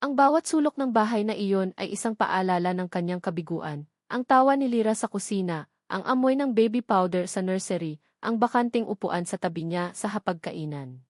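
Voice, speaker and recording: A woman reads a dramatic love story as its narrator. Her voice sits at a middle pitch. The recording is close and dry, with no room sound around her. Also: lively intonation, normal speed, dry recording. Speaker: narrator of a romance audio story.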